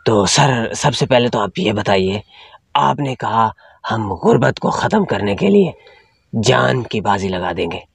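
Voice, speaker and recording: A man's voice: lowish voice, expressive, measured pace, gravelly, breathy edge, no echo, studio quality. A young man hosting a spoof interview, teasing his guest in formal phrasing and laughing at his own jokes. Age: young adult. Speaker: comedy host. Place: small indoor studio with patterned backdrop.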